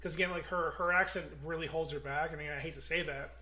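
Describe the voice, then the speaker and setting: A man with a fairly high, bright and forceful voice talks quickly in a relaxed podcast chat. He is opinionated and blunt, and he often trips over his words, repeating them before finishing a point. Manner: opinionated, fast, stumbling restarts. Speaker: podcast co-host. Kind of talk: casual movie review chat.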